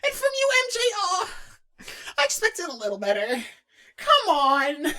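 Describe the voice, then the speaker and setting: A woman voicing an excitable, awkward, geeky young male cartoon character who rambles and hesitates as he answers fan questions in character. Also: high-pitched, measured pace, heavily acted. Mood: exasperated. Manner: animated, rambling, hesitant, self-interrupting.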